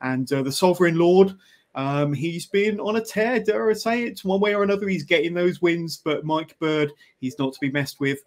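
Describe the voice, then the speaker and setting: Relaxed podcast host, slightly high voice. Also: male voice. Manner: relaxed, with frequent "uh" pauses. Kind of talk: casual hype-show chat.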